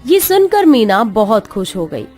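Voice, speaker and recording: A woman reading a cartoon moral story in a fairly high voice that rises and falls a lot. She tells it in an expressive, sing-song way, with faint music beneath. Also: measured pace. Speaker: narrator.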